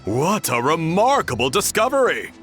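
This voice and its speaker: A man's voice: fairly high pitch, big pitch swings, normal speed, fairly bright tone, faint music behind. A man voicing an earnest, trusting tribesman in a video game, with an emphatic, declamatory manner. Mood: thoughtful. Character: earnest, trusting tribesman rallying his people.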